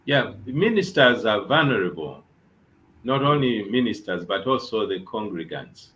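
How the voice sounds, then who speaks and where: A man's voice: lowish voice, big pitch swings, measured pace, a bit bright, slight background noise, poor audio. A man, a bishop, giving earnest advice on a talk show and repeating his key phrases. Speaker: bishop guest.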